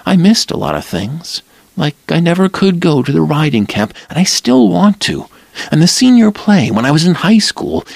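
A male narrator putting on a fragile young woman from a storybook, her voice lifted high and breathless. She swoops up and down as she pleads, with a gravelly edge underneath. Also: dry recording, full character voice. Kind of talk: audiobook character voice.

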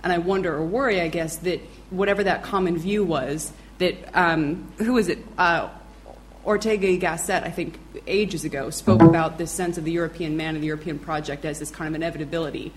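Low-voiced woman musing on a panel. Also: steady tone, a little fast, slight background noise, low-quality recording. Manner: thoughtful, hedging with fillers. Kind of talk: conference panel discussion. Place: conference hall with audience.

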